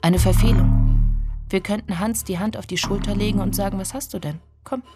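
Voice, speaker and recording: An actress playing a woman who remembers her lost lover, narrating a literary radio drama in a hushed, deliberate, intimate way. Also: mid-range voice, steady tone, loud music behind the voice, close to an everyday voice. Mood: tense, haunted. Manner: hushed, deliberate, often breathy.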